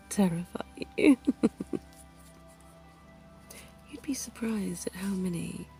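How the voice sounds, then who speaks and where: A woman's voice: medium pitch, vocal fry, poor audio. Woman doing a whispering vampire dentist. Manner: soft whispering, slow and intimate; genteel and teasing, quiet chuckles. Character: suave, soothing vampire dentist, centuries old. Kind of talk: ASMR roleplay.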